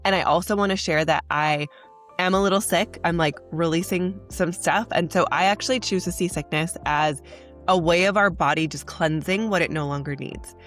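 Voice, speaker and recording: A woman's voice: lowish voice, normal speed, a bit bright, faint music behind. A young woman hosting a spiritual podcast, talking in an earnest, chatty way, congested from a cold. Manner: earnest, chatty, says "like" often. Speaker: podcast host. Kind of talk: casual spiritual podcast monologue.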